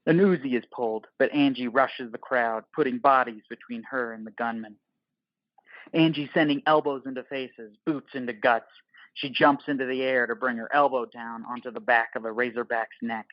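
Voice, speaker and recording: A man's voice: medium pitch, fairly even intonation, moderate pace, no echo, highs cut off. A man narrating an audio play from a script in terse, dramatic, clipped phrases.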